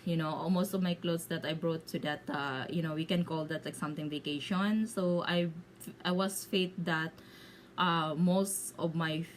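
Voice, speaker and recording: A home-made vlog where a Filipino woman with a Bisaya accent chats to her followers in halting English, her voice on the low side over faint background noise. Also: rough recording. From Philippines. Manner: halting, searching for words in limited English. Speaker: video blogger. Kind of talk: casual storytelling to viewers.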